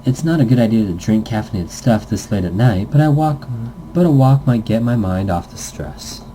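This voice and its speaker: A man's voice: fairly low pitch, expressive, moderate pace, warm tone, a little hiss or hum, rough recording. A man hosting a let's-play, reading a visual novel aloud and adding playful, goofy improvised asides in a casual gaming commentary style.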